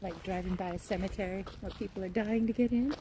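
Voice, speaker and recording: A woman friend of the host making dry, deadpan quips during a walk outdoors. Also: medium pitch, fast, noisy background. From Southern California. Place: outdoors in nature.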